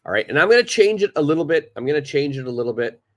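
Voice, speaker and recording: A man teaching English in a live online lesson, who speaks slowly and deliberately, repeats key words for his learners, and has a stuffed-up nose. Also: fairly high pitch, sing-song.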